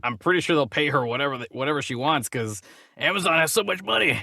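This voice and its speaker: A man hosting a casual movie news chat in an animated, jokey and irreverent way. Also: fairly high pitch, quick pace, gravelly, no echo, studio quality. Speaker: podcast host.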